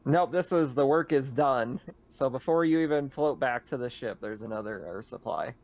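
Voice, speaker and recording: A man's voice: medium pitch, normal speed, darkish tone. A man narrating a tabletop roleplaying game as game master in an easygoing, explanatory way, on slightly dull audio.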